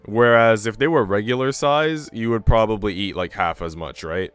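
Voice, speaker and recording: A man's voice: lowish voice, moderate pace, smooth, no echo. A man livestreaming in casual chat, talking in a slangy, profane, bro-ish way. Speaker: streamer. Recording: music behind the voice.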